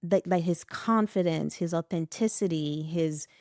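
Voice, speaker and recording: A middle-aged woman from West Africa, a podcast guest in a reflective conversation, telling animated stories, laughing often and acting out the voices she quotes. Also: fairly low pitch, unhurried, no room sound, studio quality.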